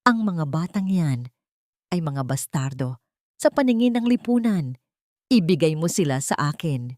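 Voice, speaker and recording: A woman's voice: deep voice, sing-song, a little slow, darkish tone, no echo. A cold, commanding villain in a radio drama, acted out by a woman narrator. He is mocking and menacing, a brother who threatens his own family. The sound is dry and close.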